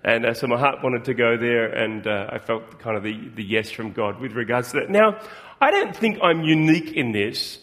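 A middle-aged man preaching a sermon in a relaxed, conversational way, with many fillers and restarts. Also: medium pitch, normal speed.

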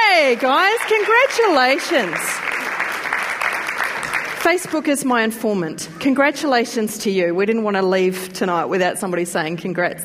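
A woman's voice: fairly high pitch, long reverb tail, heavy background noise. A woman with a New Zealand accent preaching a sermon in a church, chatty and talking straight to the congregation. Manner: chatty, warm asides to the congregation. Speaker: pastor. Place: church hall.

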